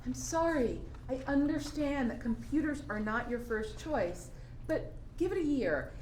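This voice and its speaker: A woman's voice: high voice, lively intonation, unhurried, a little mellow. Staged play, roomy sound with some noise: actress as practical, fretful, insistent mother pushing her son, scolding. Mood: worried, insistent. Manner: insistent, chiding. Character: anxious, nagging, practical mother of a college-bound son. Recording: roomy live recording with background noise.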